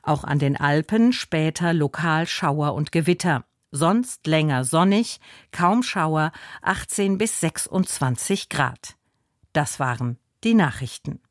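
A woman's voice: deep voice, lively intonation, takes it slowly, no echo. A woman reading a scripted radio news and weather bulletin in a broadcast studio. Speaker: radio newsreader.

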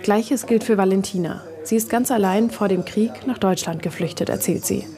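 A woman's voice: mid-range voice, moderate pace, crowd noise. A woman reporter reads scripted narration for a radio reportage.

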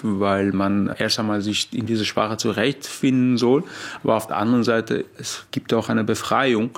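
A male author reflects in an interview, searching for words with slightly non-native phrasing. His voice is lowish and gravelly with frequent fry. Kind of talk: interview answers.

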